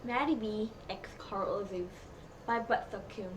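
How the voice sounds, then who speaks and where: A woman's voice: medium pitch, measured pace. A woman narrating a comedic dramatic reading of fan fiction in a mock-serious storybook manner. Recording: clearly noisy home recording.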